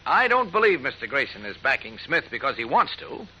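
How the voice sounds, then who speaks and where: A man's voice: medium pitch, sing-song, fairly quick, bright, forceful tone, breathy edge, highs cut off, full character voice. A man playing an earnest, persistent newspaper reporter in 1940s radio style, urgent and reasoning. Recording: old radio recording.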